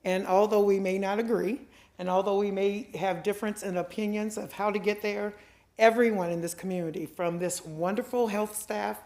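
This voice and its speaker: A woman's voice: medium pitch, fairly even intonation, normal speed, darkish tone. Heartfelt woman in an echoey chamber. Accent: Midwestern American. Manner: heartfelt, listing people to thank. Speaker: health department head. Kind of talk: speech of thanks at council meeting. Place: city council chamber.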